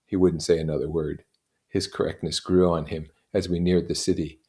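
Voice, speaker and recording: A man reading literary fiction aloud as an expressive narrator, with deliberate, dramatic phrasing. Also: sits low.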